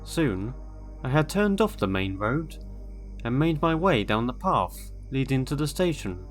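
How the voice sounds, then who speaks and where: Slow-paced man narrating a horror story. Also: mid-range voice, sing-song. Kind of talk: scripted first-person horror narration. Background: eerie background music.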